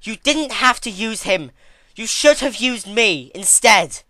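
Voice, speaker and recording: A man's voice: high voice, lively intonation, takes it slowly, pushed and bright, pitched above the performer's own voice, exaggerated voice. A man voicing an anguished, furious young female unicorn pony in a dramatic story reading, emotional and confrontational. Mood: screaming fury.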